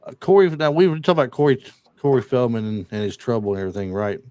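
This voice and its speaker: A man's voice: medium pitch, animated, racing, warm tone, no echo. A man hosting a casual podcast, retelling movie scenes and reading off film trivia with lots of fillers. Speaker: podcast host. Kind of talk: casual chat.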